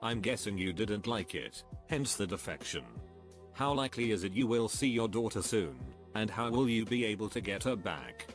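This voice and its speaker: A man giving a scripted narration, reading online questions and answers in an even, measured way. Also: on the low side, faint music behind.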